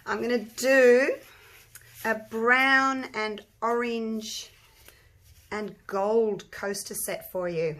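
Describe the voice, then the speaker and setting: An Australian woman with a croaky, husky voice, chatting casually as she walks viewers through a resin craft step by step. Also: fairly high pitch, steady tone, very slow, a bit bright. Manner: chatty, rambling, talks through each step. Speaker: resin craft channel host. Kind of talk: casual craft tutorial talk.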